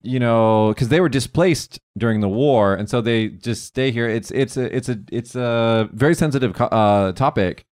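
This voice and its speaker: A man's voice: mid-range voice, normal speed, few pauses, darkish tone, no room sound. A man hosting a podcast, talking thoughtfully in casual conversation with frequent restarts and fillers. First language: English. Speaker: podcast host.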